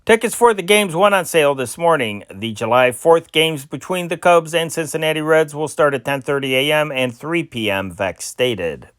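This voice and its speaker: A man with a somewhat high voice reads old newspaper sports stories in his own amateur style. His pitch swings up at the start of phrases and drops low at their ends, with the odd slip and restart. The recording is close and dry. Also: lively intonation, moderate pace, hardly any pauses, a bit bright, clean tone, no echo. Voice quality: amateur home-made reading with small slips. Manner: sing-song reading with big rises and drops in pitch. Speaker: newspaper reader, channel host. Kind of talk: reading old sports news aloud.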